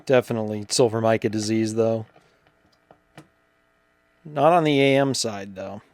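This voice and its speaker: A man giving casual, hands-on repair narration as a repairer, thinking aloud in an informal, halting way with muttered asides. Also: on the low side, a little mellow, no room sound. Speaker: vintage radio repairer presenting his own video.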